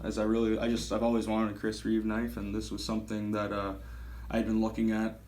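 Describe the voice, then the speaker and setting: A man's voice: on the low side, completely flat delivery, a little fast, a little mellow, slight background noise. A man in his thirties giving a casual gear review, talking in a rambling, self-correcting and enthusiastic way. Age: 30s. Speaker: knife reviewer.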